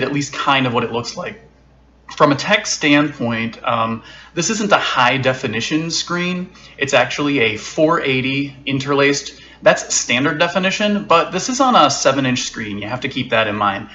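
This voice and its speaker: A man giving a casual, unscripted tech product review from home, relaxed, with frequent fillers and restarts. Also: medium pitch, muffled recording.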